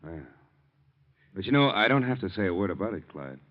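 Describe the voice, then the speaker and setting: Low-voiced sly radio-drama blackmailer. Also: male voice, lively intonation, fairly quick. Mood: coolly threatening. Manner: insinuating, coolly threatening, slangy. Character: sly, menacing chauffeur turned blackmailer. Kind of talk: old-time radio drama acting. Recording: muffled vintage radio recording.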